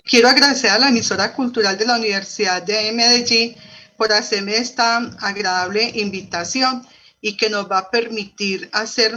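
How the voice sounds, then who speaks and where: A woman's voice: medium pitch, bright, forceful tone, lo-fi sound. A woman with a Colombian accent, a researcher and professor, explaining her work as an interview guest.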